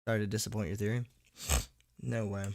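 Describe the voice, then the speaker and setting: A man's voice: lowish voice, flat delivery, fairly quick, vocal fry, a little mellow. A man hosting a casual livestream chat in slangy, informal talk, sick with a sore throat and tired. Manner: informal slangy young-streamer talk with frequent "dude" and "man". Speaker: livestream host and horror story narrator. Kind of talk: casual livestream chat, reading and answering viewers.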